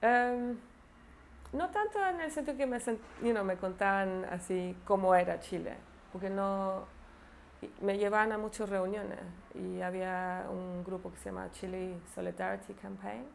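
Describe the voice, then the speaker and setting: A woman's voice: medium pitch, normal speed, vocal fry, slight background noise. A woman in a documentary interview, recalling her childhood hesitantly, with frequent fillers and English phrases slipped into her Spanish.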